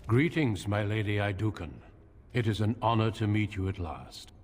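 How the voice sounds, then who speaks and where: An actor playing a courteous, grave veteran warrior in a video game, speaking in a formal, measured way. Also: male voice, on the low side, close to an everyday voice. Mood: courteous, earnest. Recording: reverberant hall, noisy game ambience.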